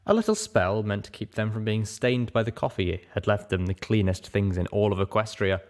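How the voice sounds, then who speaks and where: A man's voice: on the low side, normal speed, a little mellow. A man narrating a first-person fantasy story as a dramatic audiobook reader.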